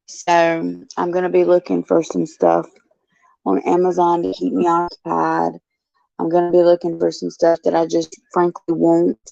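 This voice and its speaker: Gravelly, raspy low-voiced woman host. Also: completely flat delivery, moderate pace, warm tone, no room sound. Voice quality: raspy, gruff. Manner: chatty and folksy, addresses viewers by name. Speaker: show host. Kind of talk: casual chat, answering viewers. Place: indoors in a care facility. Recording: dull lo-fi, dry sound.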